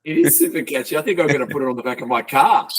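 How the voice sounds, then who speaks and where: A man's voice: mid-range voice, animated, racing, slightly rough. A man hosting a podcast, joking and teasing his guest in casual chat. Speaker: podcast host.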